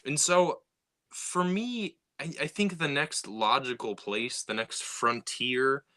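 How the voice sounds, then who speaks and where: A man's voice: mid-range voice, slow pace, pushed and bright. A young man talking casually about science fiction, with many fillers and restarts. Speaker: sci-fi writer. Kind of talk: casual conversation.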